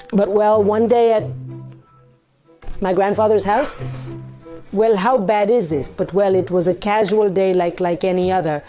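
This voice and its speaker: Hoarse woman vlogger, mellow voice. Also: medium pitch. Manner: candid, dramatic retelling with quoted dialogue. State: hoarse from partying, voice partly lost. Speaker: vlogger hosting her own storytime channel. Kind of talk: casual confessional storytelling to camera.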